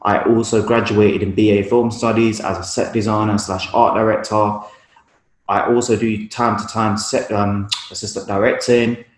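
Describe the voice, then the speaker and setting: A man's voice: on the low side, little pitch movement, moderate pace. A young man with a London accent talking casually and informally about his work as a photographer in an interview. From East London, UK. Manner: informal, slangy. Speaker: guest photographer. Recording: roomy, echoey room sound.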